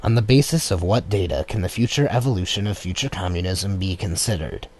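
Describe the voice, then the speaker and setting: A man narrating a political text as an audiobook in a measured, formal manner. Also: deep voice, a little mellow, breathy edge, poor audio. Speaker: audiobook reader.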